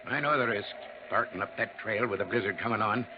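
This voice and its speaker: An actor playing a loyal, stubborn truck driver in a 1950s radio drama, plain-spoken and emphatic. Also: male voice, fairly low pitch, fast, close to an everyday voice. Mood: indignant. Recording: muffled, very noisy 1950s radio recording.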